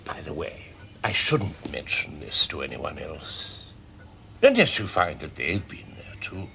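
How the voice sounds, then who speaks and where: An actor playing a kindly, amused old British professor in a radio drama, musing theatrically in a gravelly voice. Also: male voice, rumbling low, sing-song, measured pace, fairly bright tone, slight background noise, heavily acted. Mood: musing, knowing. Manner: musing, theatrical, swooping delivery.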